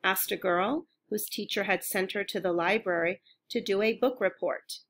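A woman reading a children's picture book aloud as a storyteller, in a careful, expressive way. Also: mid-range voice, normal speed. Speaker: storybook reader.

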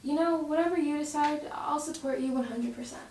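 A woman's voice: high-pitched, brisk, a little mellow. A young woman playing a teasing, supportive teenage girl at a drama table read. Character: Zoe, teasing, supportive teenage best friend. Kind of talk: table-read acting, naturalistic dialogue. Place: home dining room, around a table. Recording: roomy, distant mic, faint noise.

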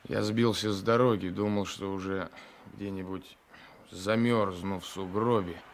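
A tired, frozen gendarme in an old radio play. He speaks slowly in a fairly low voice, polite and self-assured, with halting pauses. The recording is faintly noisy. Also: fairly even intonation. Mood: weary, courteous. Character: weary, frozen gendarme seeking shelter, courteous, self-assured. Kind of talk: 1980s Soviet radio drama acting.

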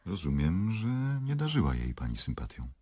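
An actor playing an unflappable prosecutor who questions formally and insistently in a radio drama. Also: male voice, very deep voice, wildly swooping pitch, normal speed, mellow, husky, full character voice. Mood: probing, measured. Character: probing, unflappable male prosecutor leading a murder investigation. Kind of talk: Polish radio drama acting.

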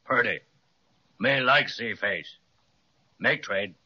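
A stolid wooden statue come to life, grunting demands in broken English. The voice is slow, hard-edged and husky, from a dull 1930s radio serial. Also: male voice, on the low side, heavily acted. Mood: demanding. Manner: stereotyped broken English, grunting hums, monotone. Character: stolid, demanding walking wooden cigar-store statue, male. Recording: dull 1937 radio recording.

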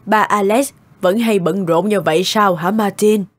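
A woman voicing a kind, protective elderly grandmother in a Vietnamese cartoon, gentle and soothing. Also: medium pitch, unhurried, no echo, clean studio sound, natural voice. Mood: tender.

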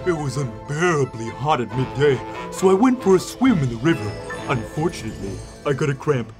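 A man's voice: medium pitch, very animated, fairly quick, close to an everyday voice. A man voicing a mischievous drowned ghost in a cartoon fairy tale, dramatic and plaintive, with music behind him. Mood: plaintive, teasing. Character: mischievous drowned male ghost who floats. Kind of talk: animated fairy tale acting. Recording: voice over loud background music.

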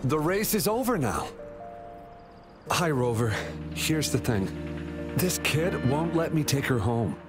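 An amiable young male guard in an anime game. He sounds a little flustered, explaining casually in a fairly high, expressive voice. Music plays clearly underneath. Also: slow, pauses often. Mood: mildly flustered. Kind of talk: anime video game voice acting. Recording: background music behind dialogue.

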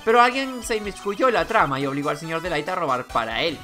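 A man's voice: on the high side, expressive, quick pace, bright, forceful tone, faint music behind. A man voicing an earnest, determined young male defense attorney in a video game, speaking firmly. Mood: insistent. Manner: firm, declarative.

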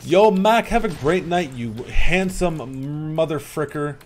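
A man's voice: a little high, takes it slowly, slight background noise. A young man streaming a shooter game, teasing his teammate and thanking viewers in casual banter. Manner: teasing, swears, shouts out viewers. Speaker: streamer and squad player. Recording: loud gunfire behind game chat. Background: game sounds and gunfire.

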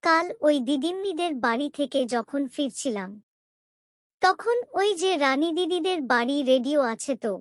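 An eager young village girl acted by a woman in a cartoon fairy tale, with a very high, childlike voice. She chatters excitedly to her parents, recorded close and dry. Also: no echo.

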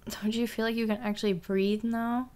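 A woman's voice: on the high side, flat delivery, moderate pace, darkish tone, lightly acted. A young woman playing a bossy, teasing step-sister in an intimate ASMR roleplay, often dropping toward a whisper. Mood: teasing, relaxed.

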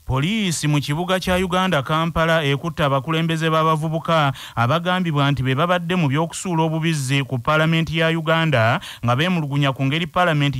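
A man reading the news as anchor in a brisk, formal delivery. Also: on the high side, a bit bright, smooth, dry recording.

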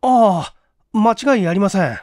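A man's voice: high voice, lively intonation, normal speed, a little mellow, no room sound, clean studio sound, voice pushed up. A man voicing a deferential, earnest apartment employee under police questioning in a mystery audiobook, polite and emphatic. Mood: insistent. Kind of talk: dramatic audiobook character acting.

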